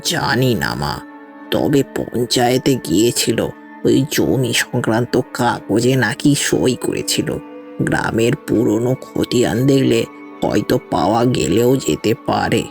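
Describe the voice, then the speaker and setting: Guy playing old village priest in audio story, slow n creaky, sad gentle remembering, music under it. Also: medium pitch, expressive. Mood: sorrowful, reflective. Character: elderly village priest recalling the past, gentle and sorrowful. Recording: music bed behind the voice.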